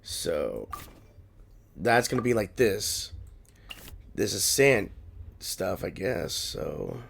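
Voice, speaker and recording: A man's voice: deep voice, huge pitch swings, drawn-out words, vocal fry, a bit bright, slight background noise. A man giving casual gameplay commentary, muttering and thinking aloud as he plays. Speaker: gaming streamer. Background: game music.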